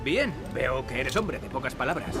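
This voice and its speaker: An actor with a Castilian Spanish accent playing a cocky, sarcastic young adventurer in a video game. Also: male voice, medium pitch, very animated, normal speed, over music, close to an everyday voice. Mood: wry, glib. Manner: sarcastic, glib, exclamatory.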